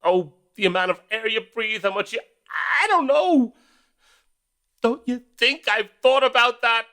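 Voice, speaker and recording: A man's voice: very high voice, expressive, unhurried, pushed and bright, full character voice. A man playing a middle-aged husband and father in an audio drama, weary, resigned and hesitant. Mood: firm, pained.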